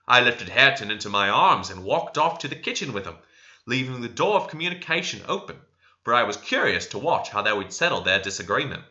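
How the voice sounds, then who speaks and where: A man's voice: medium pitch, pushed and bright. A man reading literary narration expressively, as an audiobook reader. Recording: slightly dull lo-fi recording.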